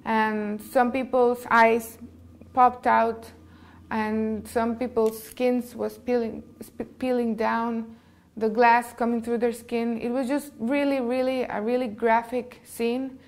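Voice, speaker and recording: A woman with a Costa Rican accent and Spanish as her first language speaks as an interviewed filmmaker. Her voice sits fairly high and has a lot of creak in it. She tells her story conversationally and earnestly. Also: level tone, takes it slowly. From Costa Rica. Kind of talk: conversational personal account.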